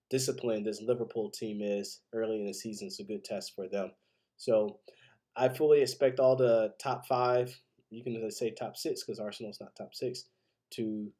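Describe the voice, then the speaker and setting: A man hosts a solo sports podcast in a low, soft-edged and mellow voice. He sounds stuffed up with a cold. His delivery is conversational and opinionated, like casual analysis and predictions. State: congested with a cold. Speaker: podcast host. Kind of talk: solo football commentary and predictions.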